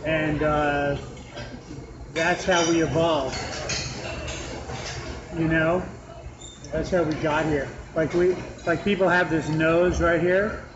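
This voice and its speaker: A man's voice: fairly high pitch, fairly even intonation, takes it slowly, pauses often. Nasal man chatting at a noisy restaurant table. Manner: rambling, restarts often. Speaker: podcast host. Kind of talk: casual chat at a restaurant table. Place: restaurant with a fan. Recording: muffled sound with clear background noise.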